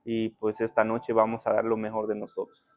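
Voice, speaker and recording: A young man from Guatemala whose first language is K'iche', a podcast guest telling his life story humbly and thankfully, with many pauses. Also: on the low side, monotone, a little hiss or hum. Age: young adult. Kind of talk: conversational interview.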